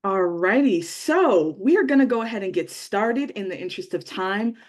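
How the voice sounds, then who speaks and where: A man's voice: high-pitched, expressive, normal speed. A man hosting an online webinar, upbeat and welcoming as he introduces a guest. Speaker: webinar host. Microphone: video call.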